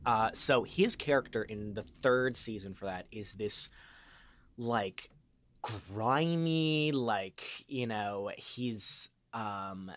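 A man with a low voice talks casually as an interview guest on a podcast. He rambles and circles back through his thoughts. The sound is dry and close, with little room around him. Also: takes it slowly, fairly bright tone, dry recording.

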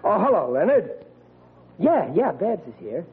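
A man's voice: sits high, sing-song, measured pace, breathy edge, exaggerated voice. A man with an American accent playing a blustering, meddlesome middle-aged working-class dad in an old radio sitcom, emphatic and folksy. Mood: excited. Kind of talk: 1940s radio sitcom acting. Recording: tinny, noisy old radio recording.

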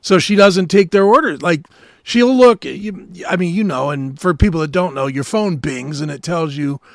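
High-voiced American man, bluntly ranting. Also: normal speed, dry recording, studio quality. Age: middle-aged. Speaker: talk show co-host. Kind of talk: casual, opinionated chat.

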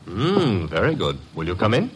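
Wisecracking young detective's sidekick. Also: male voice, on the low side, wildly swooping pitch, moderate pace. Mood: wry, cautious. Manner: breezy, wisecracking. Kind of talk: old-time radio detective drama acting. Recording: noisy vintage radio recording.

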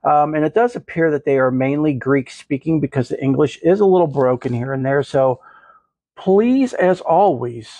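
Congested, gravelly man hosting a faith podcast. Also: fairly high pitch, normal speed, warm tone, no room sound. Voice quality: nasal. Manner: earnest, effusive, jokes with himself. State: congested from the flu. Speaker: podcast host. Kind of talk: faith podcast chat and reading aloud.

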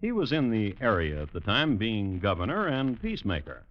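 An American actor playing the wry storyteller of a western radio drama, dry and deliberate with pauses. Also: male voice, fairly low pitch, wildly swooping pitch. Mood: dryly amused. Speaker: narrator. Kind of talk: 1950s radio scripted narration. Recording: noisy, muffled old radio recording.

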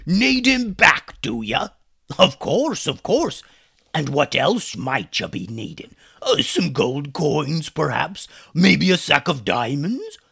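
A man voicing a gruff, mocking pirate captain in a children's story. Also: fairly high pitch, big pitch swings, pitched above the performer's own voice, heavily acted. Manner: loud, jeering, drawn-out mockery.

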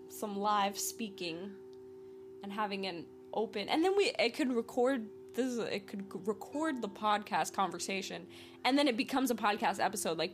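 A woman in her early twenties hosting a casual solo podcast, rambling and upbeat, laughing often as she talks. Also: mid-range voice. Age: 20s. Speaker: podcast host. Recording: faint singing bowls behind. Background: soft singing bowls.

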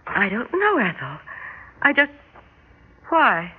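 A woman's voice: fairly high pitch, sing-song, slow pace, slightly rough, heavily acted. An American actress playing a wry suburban housewife and mother with second sight in an old-time radio drama, telling her tale conversationally. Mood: anxious. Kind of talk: old-time radio drama acting and first-person narration. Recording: noisy, narrow-band vintage radio recording.

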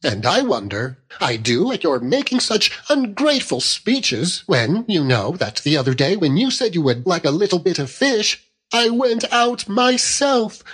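A man's voice: sits high, very animated, a little slow, fairly bright tone, pitched above the performer's own voice, heavily acted. A man voicing a childish, plaintive young Victorian wife who pouts and wheedles in a storybook reading. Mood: hurt, pouting. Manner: pouting, plaintive.

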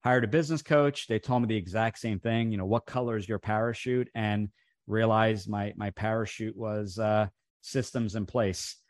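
A man's voice: lowish voice, fairly even intonation, normal speed, dry recording, clean studio sound. A man whose first language was Italian, a franchise coach telling his background in a casual interview, with many fillers and restarts. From New Jersey, USA. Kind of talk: casual interview over video call.

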